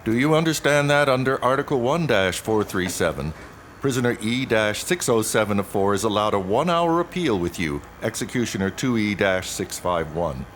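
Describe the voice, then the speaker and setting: Stern, formal police lieutenant, lowish. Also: male voice, very animated, moderate pace, a bit bright, slight background noise. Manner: formal, clipped, official. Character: stern, procedural male police lieutenant reading rights. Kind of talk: audio drama acting.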